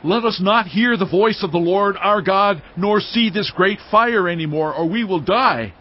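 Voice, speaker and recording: A man's voice: high voice, normal speed, dull audio. A man playing an anxious Israelite who pleads earnestly for his people in a dramatized scripture recording. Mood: fearful, pleading. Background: cinematic music.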